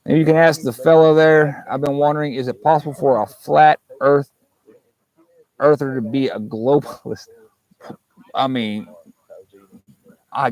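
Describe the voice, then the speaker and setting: Gravelly man chatting outdoors. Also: mid-range voice, a little mellow, low-quality recording. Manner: folksy, rambling, joking. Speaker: livestream host. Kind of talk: casual live chat. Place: outdoors at a campsite. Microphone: clip-on mic with fuzzy windscreen.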